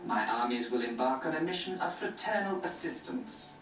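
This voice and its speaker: An actor playing a smooth, placating young male prince in a game cutscene. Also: a little high, lively intonation, normal speed, fairly bright tone. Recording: echoey distant game audio with music.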